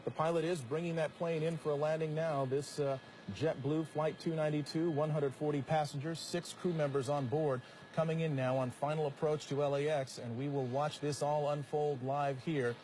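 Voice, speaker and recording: A man's voice: a little high, little pitch movement, normal speed, darkish tone. American male news anchor over noisy feed. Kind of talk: live breaking news commentary. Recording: clearly noisy live feed, vehicle drone.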